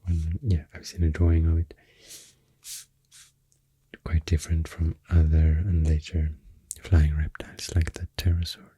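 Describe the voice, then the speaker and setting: A woman with a Swedish accent doing soft-spoken ASMR rambling, breathy and whispery, hesitant and unhurried, close to the microphone. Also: very deep voice, completely flat delivery, no echo. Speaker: ASMR artist. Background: soft pencil sounds.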